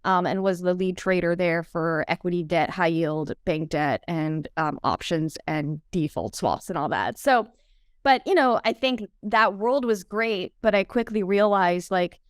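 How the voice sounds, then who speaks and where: A woman's voice: on the low side, lively intonation, a little slow, clear, resonant tone, dry recording, studio quality. A woman in her thirties from Canada, a guest telling her story in a casual interview, chatty with many fillers. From Canada (Vancouver). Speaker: guest, entrepreneur.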